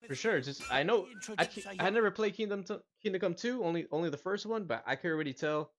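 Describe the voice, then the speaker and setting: A man hosting a gaming reaction video, excitedly commenting over clips and calling everyone "dude". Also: high-pitched, quick pace, a little hiss or hum. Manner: excitable, talks over the clip, says "dude" often. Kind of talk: casual live reaction commentary.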